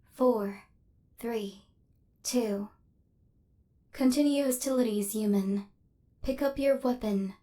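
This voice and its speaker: A woman's voice: a little high, darkish tone. A woman voicing a female robot assassin in an ASMR roleplay, cold and relentless, with clipped, formal, mechanical delivery. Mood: flustered, stern.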